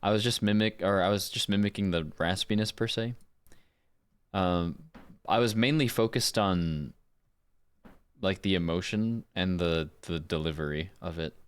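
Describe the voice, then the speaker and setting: A man's voice: sits low, normal speed, smooth. A man chatting casually on a livestream as a streamer and voice actor.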